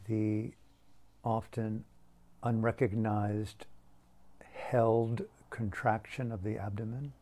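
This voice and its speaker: A man leading a guided meditation as a teacher, speaking in a hushed, deliberate way with long pauses between short phrases. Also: fairly low pitch, flat delivery.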